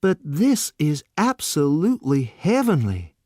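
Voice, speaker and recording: A man voicing a naive, eager seventeen-year-old boy who exclaims with wide-eyed excitement. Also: a little high, unhurried, no room sound, clean studio sound, pitched above the performer's own voice. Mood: delighted.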